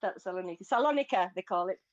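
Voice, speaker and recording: A woman's voice: lowish voice, expressive, rapid-fire, dull audio. A woman from Greece with a British accent, chatting in an online audio room, often laughing and restarting her sentences. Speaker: guest speaker. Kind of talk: casual online audio chat.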